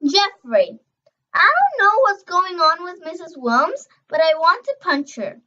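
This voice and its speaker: A young girl reading a children's novel aloud, expressive and emphatic on dialogue, stumbling over words now and then. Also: extremely high pitch, a little slow, highs cut off. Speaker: reader.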